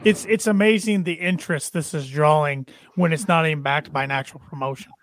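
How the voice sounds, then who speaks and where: A man co-hosting a casual podcast, chatty and quick to agree. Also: fairly high pitch, fairly bright tone. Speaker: podcast co-host. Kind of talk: casual wrestling podcast chat.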